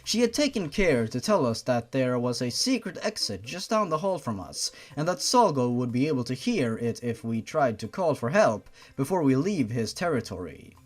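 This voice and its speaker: A man's voice: mid-range voice, sing-song, normal speed, few pauses. A man reading a story aloud like a sarcastic host, with an exasperated, mocking edge. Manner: sarcastic, exasperated, profane asides.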